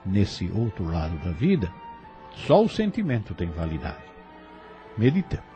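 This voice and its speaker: A man's voice: low voice, wildly swooping pitch, measured pace, dark tone, muffled recording, soft background music. A man narrating a solemn, reflective spiritual commentary.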